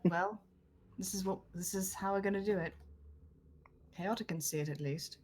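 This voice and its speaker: A woman's voice: mid-range voice, moderate pace, darkish tone, a little hiss or hum. A woman playing a tabletop roleplaying game, chatting casually with a dry, sardonic manner.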